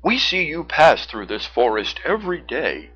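A narrator voicing a big, gentle, caring brown bear in a deep, friendly storybook voice. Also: sing-song, moderate pace, slightly rough, lo-fi sound. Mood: reassuring. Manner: friendly, reassuring.

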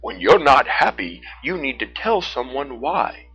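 A narrator voicing a big, gentle, caring brown bear in a deep, friendly storybook voice. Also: big pitch swings, unhurried, slightly rough, heavily acted, rough recording. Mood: reassuring. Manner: friendly, reassuring.

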